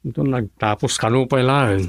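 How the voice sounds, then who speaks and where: An actor playing an easygoing, broke husband who works construction, in a radio drama. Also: male voice, medium pitch, fairly quick, natural voice.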